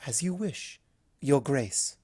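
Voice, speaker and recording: A narrator voicing a refined, earnest female unicorn pony with formal, measured, deliberate speech in a dramatic story reading. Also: medium pitch, lively intonation, warm tone, husky, no echo, studio quality. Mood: grave, composed. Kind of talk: dramatic fan fiction reading.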